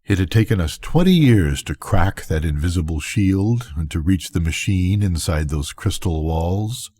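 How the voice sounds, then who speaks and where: An older man reading a bedtime story as scripted narration, with measured, deliberate phrasing. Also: deep voice, expressive, warm tone, slightly rough. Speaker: reader of bedtime stories.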